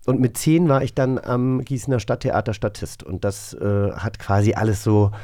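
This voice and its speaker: A man's voice: lowish voice, steady tone, moderate pace, warm tone, no echo, clean studio sound. A man co-hosting a podcast, chatting casually in a rambling, self-correcting way. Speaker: podcast co-host. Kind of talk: casual chat.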